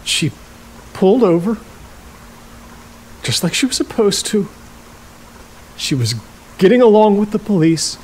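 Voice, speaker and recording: An actor playing a stubborn, halting older man, a reclusive rental host, in an audio drama. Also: sits high, lively intonation, darkish tone, breathy edge, exaggerated voice, rough recording. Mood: bitter, grieving. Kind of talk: naturalistic audio drama acting.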